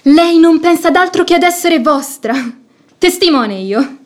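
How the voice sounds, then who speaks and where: A woman's voice: very high-pitched, expressive, unhurried. An actress playing a bold, meddling maidservant in a classic radio comedy, bossy and emphatic in her scolding. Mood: scolding, reassuring. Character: bold, meddling, sharp-witted maidservant, exasperated peacemaker.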